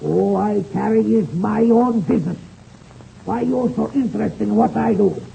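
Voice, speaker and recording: A proud, hot-tempered Russian flyer with a high, heavily creaking voice. He talks in boastful, defiant broken English with a thick Russian accent, in old radio-serial style on a muffled recording with faint noise. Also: normal speed, mellow. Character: proud, hot-tempered Russian male air-race pilot.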